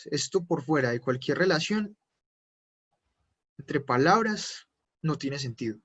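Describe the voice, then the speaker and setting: A man's voice: on the high side, lively intonation, takes it slowly, fairly bright tone, husky. A man teaching German in an online class, explaining patiently step by step with frequent fillers. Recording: dull lo-fi online class audio.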